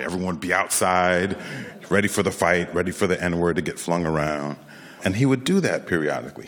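An American man in his late fifties telling a personal story to an audience in a reflective, conversational way, with pauses for emphasis. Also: a bass voice. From Rochester, New York, United States. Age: late 50s, nearly sixty.

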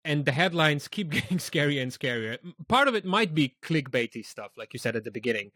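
A man's voice: fairly high pitch, expressive, a little fast, bright, forceful tone, no echo, clean studio sound. Conversational interviewer man from Slovenia. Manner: conversational, personal, questioning. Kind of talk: interview questions.

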